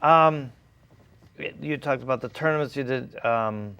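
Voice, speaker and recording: A man hosting a casual interview, asking informal questions in a halting way. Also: mid-range voice, vocal fry, sharp tone, smooth, dry recording. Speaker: interviewer, host.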